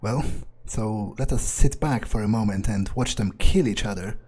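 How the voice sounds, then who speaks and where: A man improvising in character during a video game playthrough, speaking haltingly and broodingly in a husky voice. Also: lowish voice, darkish tone. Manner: halting, broody, heavy swearing. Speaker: gamer role-playing his character aloud.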